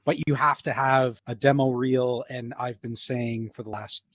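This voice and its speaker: A man's voice: mid-range voice, steady tone, moderate pace, fairly bright tone, no room sound, dull audio. A man chatting casually as a guest voice actor in an interview.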